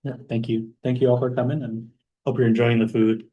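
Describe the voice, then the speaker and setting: A young man from Hawaii giving an informal talk about his career as a guest speaker, relaxed, with many fillers. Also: medium pitch, a little fast, dull audio. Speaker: guest speaker, math postdoc.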